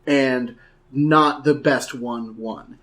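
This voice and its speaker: A man's voice: medium pitch, steady tone, extremely slow, fairly bright tone. A man chatting casually and emphatically as a podcast panelist, repeating words for stress. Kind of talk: casual chat, freely swearing.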